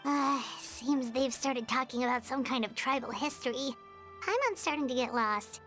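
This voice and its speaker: A woman's voice: fairly even intonation, moderate pace, fairly bright tone, soft background music. A woman voicing a small, childlike fairy companion in a video game, curious, cutesy and easily flustered. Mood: puzzled. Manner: cutesy, squeaky, puzzled.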